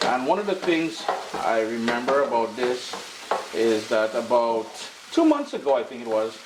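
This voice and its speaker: A middle-aged man with a Caribbean accent chats casually as he cooks, his voice a bit stuffy from allergies. His pitch sits in the ordinary middle range. Faint frying and sizzling noise sits under his voice. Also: very animated, moderate pace. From Caribbean island. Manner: upbeat, chatty, slangy. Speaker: cooking show host. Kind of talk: casual cooking demonstration. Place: home kitchen.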